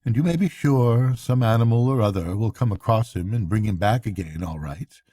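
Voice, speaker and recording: A man's voice: lowish voice, lively intonation, normal speed, warm tone, no echo, clean studio sound. A man voicing a good-hearted, loyal mole with a plain-spoken, eager manner, as in a bedtime storybook. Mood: light, reassuring. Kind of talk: bedtime storybook character reading.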